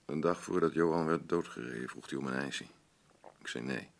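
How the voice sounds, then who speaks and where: An actor playing a bitter, defensive, grieving middle-aged husband in a naturalistic radio play, blunt and grumbling, with a gravelly voice. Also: male voice, very deep voice, fairly quick. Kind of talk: naturalistic radio drama acting.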